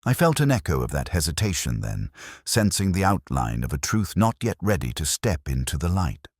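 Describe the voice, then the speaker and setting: Man acting ageing male inspector, bottom-register voice, expressive intonation, melancholy, reflective, detective story narration. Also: low voice, no room sound, clean studio sound, exaggerated voice. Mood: pensive, brooding. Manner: measured, literary, reflective. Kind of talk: scripted first-person detective story narration.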